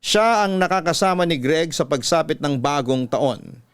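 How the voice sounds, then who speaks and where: A man with a Filipino accent narrating a sentimental confessional story in a measured, classic radio drama style. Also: fairly high pitch, lively intonation, smooth, no echo. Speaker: radio-style storyteller host reading a letter.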